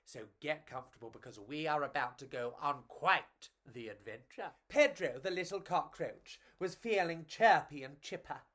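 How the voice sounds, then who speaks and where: A woman's voice: deep voice, normal speed, hardly any pauses, a bit bright. A woman reading and chatting to children as a storyteller, in a theatrical, gushing and affectionate way.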